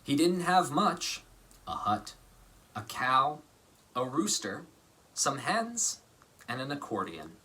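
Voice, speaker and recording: A man reading a children's story aloud as an actor, with deliberate, theatrical phrasing and dramatic emphasis. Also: mid-range voice, big pitch swings, bright, forceful tone, slight background noise. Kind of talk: expressive storybook narration.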